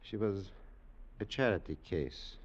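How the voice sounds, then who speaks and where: An actor playing an anxious, courteous but evasive doctor in an old radio drama, speaking hesitantly and repeating words. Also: male voice, low-pitched, expressive, unhurried. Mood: subdued, grave. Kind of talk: 1940s-50s radio drama acting. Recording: dull old radio broadcast recording.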